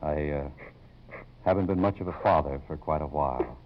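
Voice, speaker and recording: An actor in 1950s radio drama playing a grave, middle-aged college president and father, halting and formal. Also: rumbling low, slight background noise. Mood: remorseful. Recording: muffled old 1950s radio broadcast.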